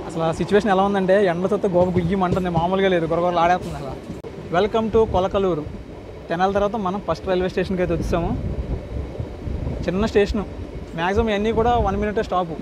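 A man with an Andhra Telugu accent gives chatty, casual travel vlog commentary straight to his viewers, recorded on board a train. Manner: chatty, addresses viewers directly. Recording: clear train and outdoor noise. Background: train rumble and outdoor ambience.

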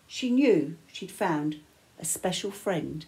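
Older female storyteller for bedtime picture book: unhurried, animated pitch, dramatic pauses. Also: poor audio. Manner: measured, dramatic phrasing with pauses. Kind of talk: expressive picture-book read-aloud. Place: small living room, indoors.

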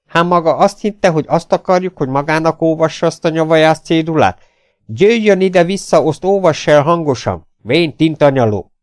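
A man voicing a rude, coarse rat-faced sailor in an audiobook adventure story, shouting insults. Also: on the high side, voice pushed up, close to an everyday voice. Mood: bullying, impatient.

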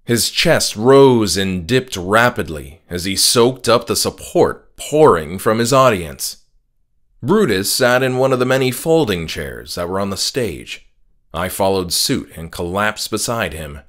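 A man narrating a scripted horror audiobook in a measured, dramatic storytelling manner. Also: on the low side, expressive, a bit bright. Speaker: author reading his own novella.

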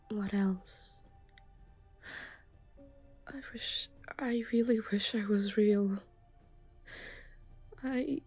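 A woman voicing an obsessive, self-aware anime schoolgirl in an ASMR roleplay, soft and often whispering, shy, hesitant and stammering as she pleads. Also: on the high side, slightly rough, exaggerated voice. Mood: distressed, remorseful.

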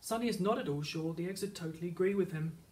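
A man's voice: high voice, fast talker, a little mellow. A man narrating a children's picture book, read aloud as a teacher would. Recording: slightly distant microphone.

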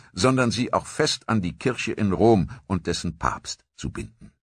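Deep, husky documentary narrator. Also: male voice, no echo. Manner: measured, grave storytelling.

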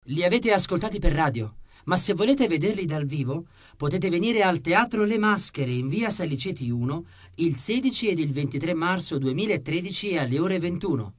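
Guy radio host doing announcer read, voice a bit on the high side. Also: lively intonation, normal speed. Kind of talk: scripted announcer presentation.

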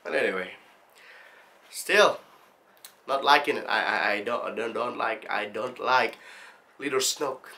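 A young adult man talking casually to camera as a tutorial host, in an animated, jokey, excitable manner. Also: medium pitch, a little slow, creaky, pauses often. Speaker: host of video-effects tutorials. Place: small indoor studio room.

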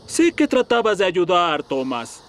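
A performer voicing a calm, helpful, instructive talking steam locomotive in a Latin American Spanish cartoon dub. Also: mid-range voice, measured pace. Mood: patient, instructive. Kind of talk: dubbed children's cartoon acting.

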